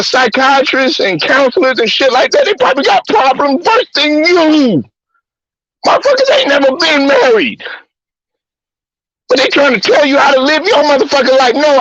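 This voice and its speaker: A man with a strikingly high voice rants bluntly and heatedly, hammering the same phrases again and again. The sound is dull and lo-fi, close and dry with no room around it. He delivers it as a host riffing off the cuff. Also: a bit bright, no room sound. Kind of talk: profane sports-talk rant.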